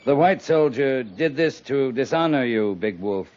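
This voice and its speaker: Earnest, reasoning army captain for a 1950s radio western, male actor: unhurried, medium-pitched, firm, dull vintage sound. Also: lively intonation, a little mellow, natural voice. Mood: firm, measured. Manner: measured, deliberate, earnest. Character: steady, reasoning US cavalry captain negotiating for peace. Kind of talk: 1950s radio drama acting.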